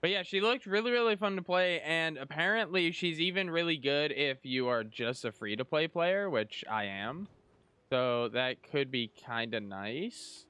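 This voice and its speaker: Bright, animated man commentating on gameplay. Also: on the high side, a little slow, smooth, no echo. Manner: rambling, thinking aloud. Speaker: gaming streamer.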